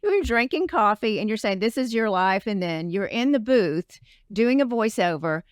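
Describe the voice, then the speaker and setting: Animated woman host with swooping pitch. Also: normal speed, smooth, no room sound, studio quality. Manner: enthusiastic, reactive, interjecting. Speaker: podcast host. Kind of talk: casual interview chat.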